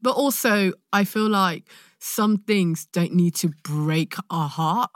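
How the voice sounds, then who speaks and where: Low-voiced London woman chatting casually. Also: slow, dry recording, studio quality. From London, England, born in Nigeria. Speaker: podcast guest, actor. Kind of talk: casual chat.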